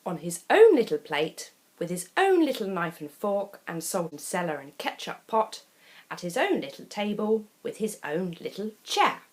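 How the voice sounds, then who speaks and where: A woman reading a children's picture book aloud as a storyteller, expressive and deliberate, with dramatic pauses between phrases. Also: mid-range voice, poor audio.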